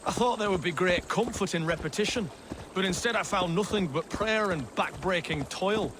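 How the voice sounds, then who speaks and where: An actor playing a cowardly, wordy impostor lord in a video game, fussy and blustering with a nervous stutter. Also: male voice, high voice, moderate pace, background noise, lightly acted. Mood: anxious. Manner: fussy, florid wording, blustering. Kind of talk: video game character acting.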